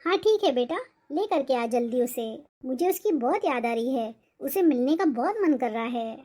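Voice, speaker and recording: A woman's voice: high-pitched, expressive, normal speed, dark tone. A woman voicing a nagging older mother-in-law in a cartoon family drama, with a complaining manner. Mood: fond, eager. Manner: scolding, complaining.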